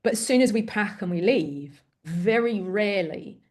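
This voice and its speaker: Woman, middle-aged, English accent, from Greece; interview guest, candid, animated, self-deprecating storyteller. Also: medium pitch, moderate pace. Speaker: parent coach guest. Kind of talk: conversational interview.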